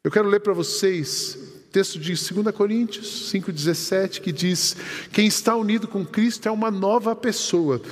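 A man's voice: high voice, normal speed. A Brazilian man preaching a sermon as pastor, telling a story and quoting the people in it. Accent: Brazilian Portuguese. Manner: lively storytelling, quoting others in conversation. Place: church hall. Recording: long church hall reverb.

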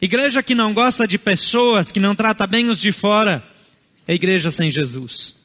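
A Brazilian pastor with a high voice preaches in short, deliberate phrases, and Portuguese is not his first language. His sermon is earnest and he builds each point carefully. The sound has the long reverb of a large hall. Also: a bit bright.

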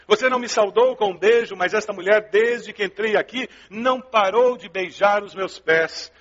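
A man's voice: sits high, fairly bright tone, husky. A man with a Brazilian Portuguese accent preaching a sermon as a pastor, in an emphatic, didactic manner, in a large hall. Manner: emphatic, didactic, with rhetorical questions and repetition. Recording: dull, reverberant large-hall recording.

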